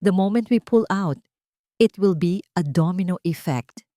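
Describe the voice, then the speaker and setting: Woman as powerful businessman, composed, soft, measured, caring, audio romance story, dry close sound. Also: lowish voice, no room sound, lightly acted. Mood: confident, serious. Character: composed powerful businessman, ruthless yet tender suitor.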